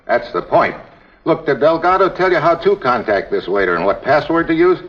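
An actor playing a tough American investigator posing as a racketeer, with clipped, slangy talk in a 1950s detective drama. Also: male voice, fairly high pitch, expressive, fairly quick, close to an everyday voice. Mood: brisk, determined. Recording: dull vintage TV soundtrack.

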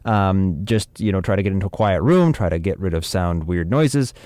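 A man's voice: deep voice, normal speed, darkish tone, no echo, clean studio sound. A man giving informal, chatty instruction as an audio drama producer, with wry humour.

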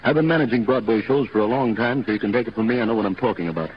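A man's voice: on the low side, racing, close to an everyday voice. Man playing a blunt veteran show manager, muffled radio. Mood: stern. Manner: firm, straight-talking. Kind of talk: 1930s radio acting. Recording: muffled 1930s radio broadcast with noise.

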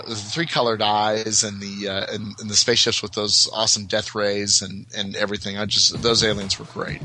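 A man talking casually as an enthusiast podcast guest, rambling and haltingly backtracking as he goes. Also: on the low side, rough recording.

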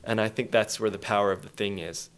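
A man's voice: fairly low pitch, steady tone, fast talker, fairly bright tone, clean tone. A Canadian man guest explaining an event thoughtfully in casual chat, often restarting phrases. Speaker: guest, taskforce co-lead.

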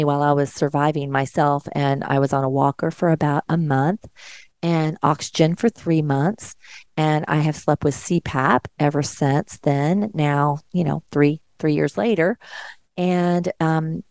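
A middle-aged nurse from Georgia, hosting a monologue show and speaking earnestly and emphatically to her listeners. Her voice has stayed deeper since an illness. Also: fairly even intonation, a little slow, a little mellow, smooth, dry recording. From Georgia, USA. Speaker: nurse and podcast host. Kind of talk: monologue commentary.

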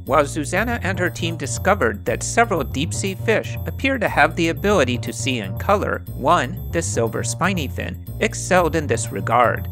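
A man's voice: fairly high pitch, normal speed, hardly any pauses, music in the background. A man co-hosting a science podcast, introducing the show and reading scripted narration in an announcing manner. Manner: animated, announcing. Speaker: podcast co-host.

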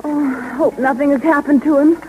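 A woman's voice: high-pitched, steady tone, fairly quick, darkish tone, close to an everyday voice. An actress playing an anxious, coy young lady boarder in a 1930s radio comedy. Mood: worried, coy. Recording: muffled, noisy 1930s radio recording.

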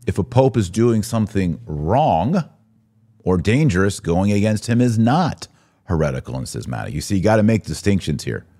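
A man's voice: deep voice, dark tone, no room sound, studio quality. An American man hosting a live podcast, commenting and answering viewers in an emphatic way, repeating phrases for stress. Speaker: podcast host.

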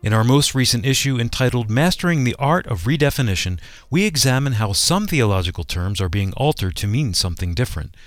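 A man's voice: medium pitch, expressive, brisk, no echo, clean studio sound. An American man reading a scripted promotional announcement as a radio announcer.

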